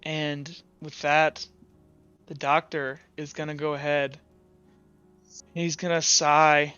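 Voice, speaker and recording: A man runs a tabletop roleplay, narrating as he improvises. His voice is high and forceful, recorded dry and a bit lo-fi over music. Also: little pitch movement, slow pace, smooth. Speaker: game master.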